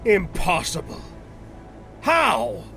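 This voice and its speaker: Shrill, high-pitched arrogant master. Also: male voice, wildly swooping pitch, very slow, a bit bright, faint music behind, full character voice. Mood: panicked disbelief. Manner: imperious, shrill, incredulous. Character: arrogant male master of bred soldiers, panicking. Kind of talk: anime-style audio roleplay acting.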